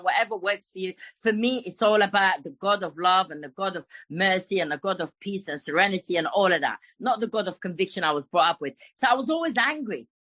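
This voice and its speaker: A woman's voice: medium pitch, a bit bright, dry recording. A middle-aged woman from Morocco, sharing her recovery story at a meeting in a frank, emphatic way and swearing freely. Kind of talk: personal recovery share.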